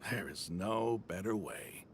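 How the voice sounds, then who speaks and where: An actor playing a frank, persuasive desert mercenary leader in a dramatic video game scene, earnest and argumentative. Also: male voice, low voice, expressive, takes it slowly, slight background noise. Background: music.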